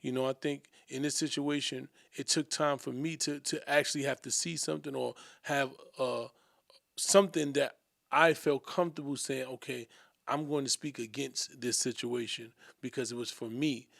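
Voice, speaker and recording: A man co-hosting a discussion show, reflecting aloud in a measured way and often asking "right?". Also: medium pitch, steady tone, vocal fry, fairly bright tone, no echo, clean studio sound. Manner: measured, thinking aloud, tag questions. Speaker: podcast co-host.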